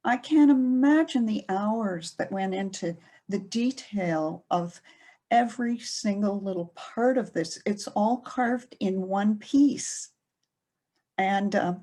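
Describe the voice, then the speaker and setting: A middle-aged woman librarian giving a presentation, speaking slowly with many pauses and fillers. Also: medium pitch, darkish tone. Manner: slow, hesitant with many fillers. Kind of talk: presentation talk.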